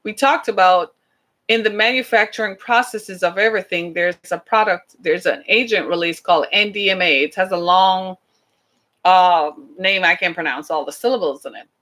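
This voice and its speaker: A woman's voice: fairly low pitch, normal speed, a bit bright. A woman from the British Caribbean with a Caribbean accent, hosting a podcast in a chatty, emphatic monologue full of rhetorical questions. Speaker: podcast host.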